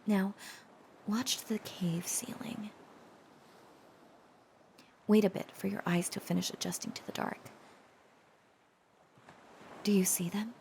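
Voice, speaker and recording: A woman playing a tender, protective young dragon-descended girlfriend in an intimate romantic audio roleplay, speaking gently and hesitantly in a breathy, often whispered voice. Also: mid-range voice, steady tone, slow, long pauses. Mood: soft wonder. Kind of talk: intimate roleplay audio drama, softly acted.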